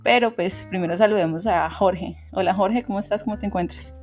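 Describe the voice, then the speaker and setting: A woman hosts a podcast, opening the show and welcoming listeners and her guest. Her voice is mid-pitched and even. Faint guitar music plays beneath her. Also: a little fast. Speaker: podcast host. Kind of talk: podcast hosting.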